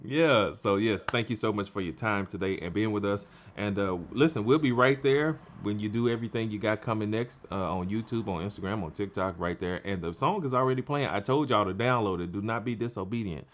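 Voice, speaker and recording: A man's voice: lowish voice, brisk, few pauses. A man hosting an interview in a casual, playful style, joking with the audience and urging them like a preacher. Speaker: interview host.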